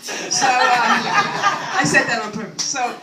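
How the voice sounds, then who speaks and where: A woman's voice: high voice, big pitch swings, takes it slowly, very noisy. An older woman doing a rambling stand-up comedy set, self-deprecating and full of chatty asides to the crowd. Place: comedy club stage. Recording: echoey room, mic at a distance, faint ambience.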